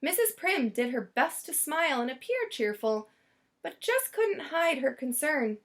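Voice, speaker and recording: A woman reading a children's picture book aloud as storyteller, expressively and with deliberate dramatic emphasis. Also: high-pitched, very animated, a bit bright.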